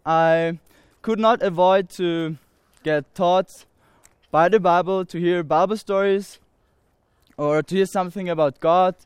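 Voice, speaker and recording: Slow, earnest young Austrian man. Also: sits high, smooth, no echo. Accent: Austrian German. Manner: halting and earnest, many pauses and restarts. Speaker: speaker giving a testimony. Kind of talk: personal faith testimony to an audience.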